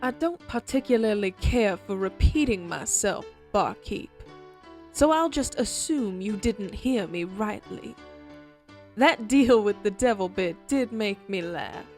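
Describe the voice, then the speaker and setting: A woman's voice: mid-range voice, expressive, unhurried, darkish tone, soft background music. A woman playing a coolly menacing outlaw circus ringmaster in an Old West audio drama, mock-polite and theatrical. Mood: amused, probing.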